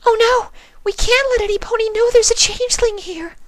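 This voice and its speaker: A storyteller voicing a timid, gentle young female pegasus in a soft, breathy, hesitant way. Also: extremely high pitch, brisk, pitched above the performer's own voice. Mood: nervous. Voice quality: soft, breathy, trailing into whispers. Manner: hesitant, apologetic.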